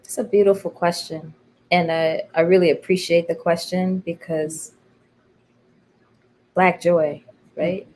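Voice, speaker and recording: A middle-aged American woman speaking reflectively and emphatically in a personal conversation, pausing and repeating phrases for weight. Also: on the low side, little pitch movement, a little mellow. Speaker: interview guest, playwright.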